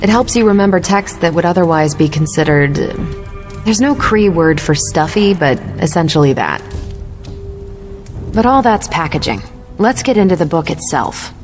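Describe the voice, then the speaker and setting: A woman's voice: lowish voice, expressive, moderate pace, darkish tone, soft background music, lightly acted. An actress playing a confident military superhero woman in a video game, explaining things in an assured, lightly teasing way. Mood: instructive. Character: confident adult superhero woman, sharp patient military mentor.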